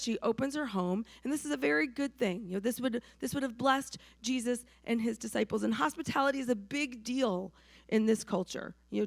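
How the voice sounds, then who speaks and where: A hoarse woman from New Hampshire preaching a sermon to her church in a conversational way, often checking in with the congregation. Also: on the high side, no room sound. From New Hampshire, USA. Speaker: pastor.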